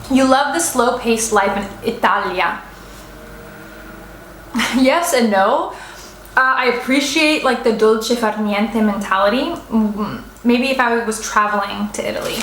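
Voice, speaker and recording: A woman's voice: fairly high pitch, fairly bright tone, reverberant, slight background noise, poor audio. A young woman in her twenties, vlogging a casual mukbang chat in a restaurant, rambling with many fillers and restarts. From United States. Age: 20s. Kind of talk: casual mukbang chat, answering viewer assumptions.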